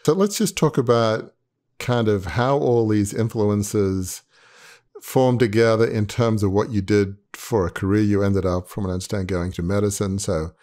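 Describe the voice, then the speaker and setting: A man's voice: fairly low pitch, normal speed, a little mellow. A man in his sixties hosting an interview, asking long rambling questions with many fillers over a video call. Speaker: podcast host. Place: home office. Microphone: earbuds on a video call.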